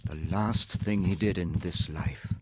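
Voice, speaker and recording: A man's voice: sits low, unhurried, very noisy. A man playing a wealthy older industrialist in a video game, proud yet haunted, speaking formally and articulately with a wistful storyteller's manner. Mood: sorrowful, wistful. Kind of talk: scripted video game dialogue.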